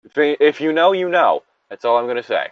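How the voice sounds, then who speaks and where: A man's voice: medium pitch, big pitch swings, a little fast, no room sound. A man co-hosting a casual story reading, drifting into tangents and exasperated, sweary asides. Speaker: co-host reading a story aloud with commentary. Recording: dull lo-fi microphone.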